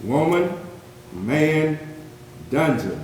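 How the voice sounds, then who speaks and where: A man performing a solemn poet-witness who mourns the horrors of slavery, reciting dramatic verse in a declamatory, deliberate way with weighted pauses. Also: on the high side, very animated, a little mellow, exaggerated voice. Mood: grave, mournful. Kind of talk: dramatic spoken-word poetry recitation, readers theatre. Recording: very echoey hall, distant mic, faint noise.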